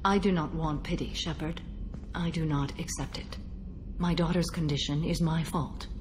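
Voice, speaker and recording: A woman playing an ancient alien warrior mother in a video game, stern and grieving, with formal, measured speech. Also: low voice, fairly even intonation, darkish tone, lightly acted. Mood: stern, grave. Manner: formal, measured, grave delivery. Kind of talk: dramatic video game acting. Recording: clearly noisy game audio, ambience.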